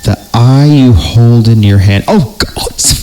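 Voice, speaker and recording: A man's voice: medium pitch, dark tone. A man theatrically reading a horror story, stretching out words and dropping into muttered, profane comic asides. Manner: theatrical, drawn-out delivery broken by muttered asides. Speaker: comedy podcast host reading horror stories. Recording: clearly noisy background.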